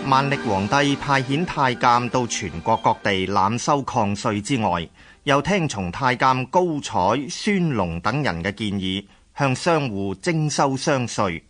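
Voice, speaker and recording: A man narrates a history drama in a formal storytelling voice. He is unhurried and expressively inflected, with soft music underneath. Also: mid-range voice, animated.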